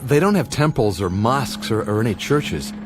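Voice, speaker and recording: A man's voice: mid-range voice, lively intonation, moderate pace, noisy background, close to an everyday voice. A man playing a mild, earnest travelling companion in a radio play.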